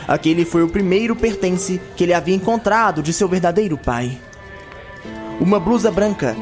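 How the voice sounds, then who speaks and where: A man narrating a scripted fantasy audio drama as its storyteller. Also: sits high. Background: music.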